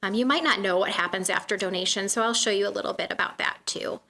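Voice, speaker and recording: A soft-spoken woman in her thirties giving a presentation to a community meeting in a room, with frequent "um" fillers. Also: medium pitch, fast, gravelly. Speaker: guest speaker from a donation nonprofit.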